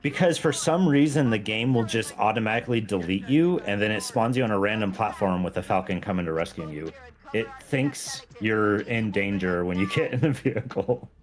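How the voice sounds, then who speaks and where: A man's voice: lowish voice, animated, normal speed. A man chatting casually as he plays a game, explaining things in a self-deprecating way. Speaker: game mod creator, guest. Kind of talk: casual chat while playing a game. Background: background music.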